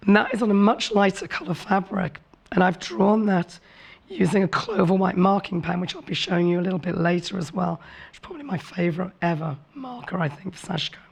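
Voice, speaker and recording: A woman presenting a televised sewing demonstration with a hoarse, rough voice from a cold. She explains in a chatty, friendly way and apologizes for her voice. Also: fairly low pitch. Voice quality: rough, raspy voice. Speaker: craft show presenter. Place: TV studio set.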